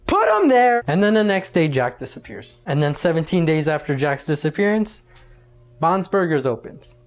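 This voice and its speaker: A man's voice: a little high, big pitch swings, moderate pace. A man hosting a casual video essay, talking in an informal, conversational and slangy way.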